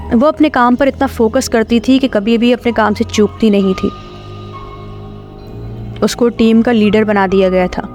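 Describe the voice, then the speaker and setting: A woman's voice: mid-range voice, darkish tone. A woman reading a scripted horror story as its narrator. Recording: clear music bed under voice.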